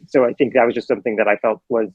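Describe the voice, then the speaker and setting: A film director being interviewed, talking casually in long, rambling answers full of fillers and self-corrections. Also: male voice, mid-range voice, steady tone, very fast, husky, no room sound, lo-fi sound. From Hawaii.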